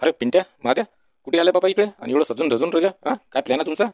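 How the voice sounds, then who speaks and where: A man's voice: on the high side, expressive, racing, darkish tone, no room sound. A man voicing a generous, easygoing young village do-gooder in a comedy cartoon, folksy and obliging, with a Varhadi Marathi accent. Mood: brisk. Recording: dull, lo-fi sound.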